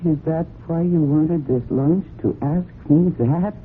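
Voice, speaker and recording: A woman's voice: low-pitched, slow pace. An actress playing a strong-willed, loyal and defensive woman in a vintage radio drama, speaking with earnest, emotional insistence. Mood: distressed. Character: strong-willed adult daughter, loyal and defensive about her horse. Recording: muffled, noisy old radio broadcast recording.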